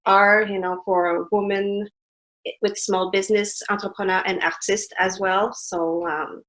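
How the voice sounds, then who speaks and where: A woman's voice: medium pitch, flat delivery, unhurried, poor audio. A woman from Montreal whose first language is French, speaking English with a French-Canadian accent as a guest on an interview, telling stories in a chatty way. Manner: chatty, warm storytelling with fillers. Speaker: guest artist. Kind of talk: casual interview chat.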